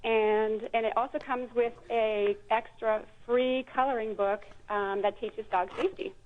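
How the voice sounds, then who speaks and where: A woman's voice: on the high side, steady tone, a little slow. A woman guest, a children's book creator, explaining products conversationally over a phone line. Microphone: telephone. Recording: thin phone line call.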